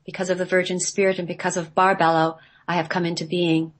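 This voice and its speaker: Woman acting divine female spirit, lowish pitch, solemn incantation, self-proclaiming, radio play. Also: little pitch movement, quick pace, lightly acted. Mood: reverent.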